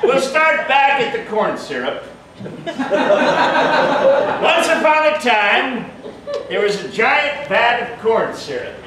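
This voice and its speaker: A middle-aged man playing a grandfather telling an improvised bedtime story to his grandson in a deliberate, mock-earnest way. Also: extremely high pitch, very animated, exaggerated voice. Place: indoor theatre stage. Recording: roomy stage hall, distant mic, audience noise.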